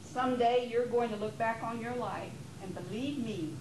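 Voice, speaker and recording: A woman playing a gentle, patient wife who soothes and reassures, in an amateur stage play. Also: fairly high pitch, normal speed, natural voice. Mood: soothing, reassuring. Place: theater during a rehearsal. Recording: echoey, very noisy theater rehearsal tape.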